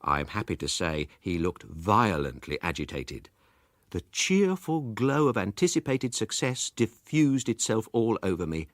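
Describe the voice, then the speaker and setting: A man's voice: a little slow, dry recording, clean studio sound. An actor playing a pompous, self-satisfied amateur detective, narrating his report in a precise, theatrical manner. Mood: eager, officious. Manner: pompous, precise, highly expressive. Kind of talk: theatrical first-person story narration.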